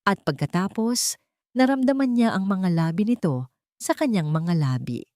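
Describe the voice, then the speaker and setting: A woman's voice: mid-range voice, lively intonation, normal speed, darkish tone, no echo, studio quality. A woman storyteller narrating a scripted romantic story.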